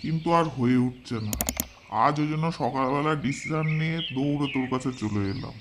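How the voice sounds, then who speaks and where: A man voicing a breezy, hurried husband in an amateur cartoon, self-assured and bustling. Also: medium pitch, lightly acted. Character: breezy, hurried husband inviting a friend to lunch. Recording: very noisy home recording.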